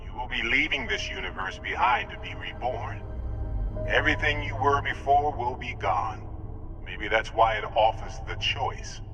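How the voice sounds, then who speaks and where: An actor playing an earnest, protective otherworldly emissary in a video game, speaking gravely and sincerely. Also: male voice, mid-range voice, animated, moderate pace, music in the background. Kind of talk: dramatic video game acting.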